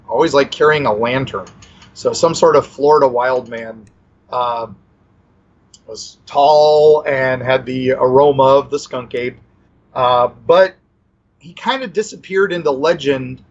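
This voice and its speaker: Rambling man with slightly dull audio. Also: medium pitch. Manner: rambling, many fillers and restarts. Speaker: podcast co-host. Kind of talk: casual storytelling chat.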